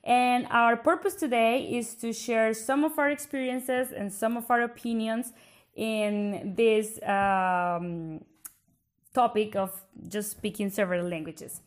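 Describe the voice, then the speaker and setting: A young Colombian woman with a Spanish accent, co-hosting a podcast in a thoughtful, casual way with fillers. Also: fairly high pitch. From Bogotá, Colombia. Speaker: podcast co-host. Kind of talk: casual chat.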